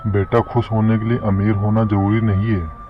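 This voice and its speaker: A man's voice: fairly low pitch, little pitch movement, normal speed, music in the background, natural voice. A man playing a poor, patient, kind-hearted farmer father in a cartoon moral story, on lo-fi audio. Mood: gently moralizing.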